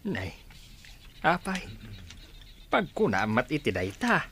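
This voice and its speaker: A man's voice: a little high, sing-song, slow pace, pushed and bright, a little hiss or hum, exaggerated voice. A man acting a blunt, concerned neighbour in a Filipino radio drama, with a Filipino accent.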